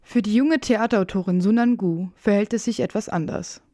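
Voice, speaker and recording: A woman's voice: medium pitch, a little fast, warm tone, no echo, studio quality. A woman reporter reading scripted narration for a radio feature, with music behind her voice.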